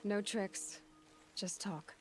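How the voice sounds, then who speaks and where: A woman's voice: mid-range voice, fairly even intonation, drawn-out words, a little hiss or hum. An actress playing a young female hunter in a game, direct and terse. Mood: determined. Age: young adult. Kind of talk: video game cutscene acting.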